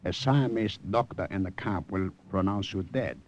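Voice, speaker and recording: A man playing a calm, shrewd Siamese helper in a 1950s radio drama, with measured, precise speech. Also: low voice, close to an everyday voice. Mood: calm conspiring. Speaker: actor. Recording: dull, noisy vintage radio recording.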